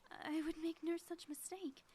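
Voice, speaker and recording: A woman playing a sly, theatrical supervillain inventor in an ASMR audio roleplay, speaking softly with pompous, taunting phrasing and often dropping toward a whisper. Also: extremely high pitch, steady tone, normal speed. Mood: flustered, indignant. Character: gloating female supervillain inventor, sly, theatrical, secretly smitten.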